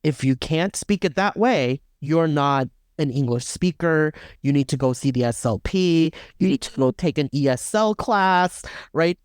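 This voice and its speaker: A man's voice: a little high, lively intonation, measured pace, hardly any pauses, clear, resonant tone, no echo, studio quality. A man co-hosting a podcast, talking through ideas in a casual academic discussion and often checking in with "right?". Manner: thinks aloud with fillers and restarts, often adds "right?". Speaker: podcast co-host.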